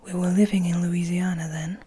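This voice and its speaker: Asmr lady doing a calm gentle vampire guy telling his story, all whispery and breathy, confiding. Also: fairly low pitch. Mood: composed, reminiscing. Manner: soft, confiding, measured whisper.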